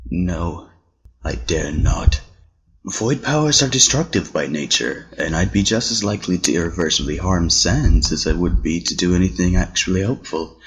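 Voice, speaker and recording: An actor playing a grave, cautious void scientist in a fan comic dub, speaking formally in a husky, rough voice. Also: low-pitched, animated, a little hiss or hum, low-quality recording. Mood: grave, guarded. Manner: formal, measured, reluctant.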